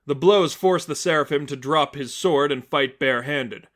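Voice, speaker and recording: A man reading scripted story narration in a dramatic, deliberate manner. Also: a little high, fairly bright tone. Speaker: fan fiction reader, narrator.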